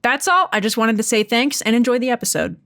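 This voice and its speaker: A woman with a somewhat high voice addresses her podcast audience. She speaks as the announcer, delivering notices to listeners. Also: animated, fast talker, a bit bright, no room sound, clean studio sound.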